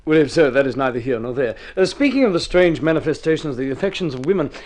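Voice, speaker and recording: An actor playing a polite, worldly, wryly long-suffering gentleman in a vintage radio drama. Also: fairly high pitch, lively intonation, fast talker, lightly acted. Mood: polite interest. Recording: vintage radio recording with music.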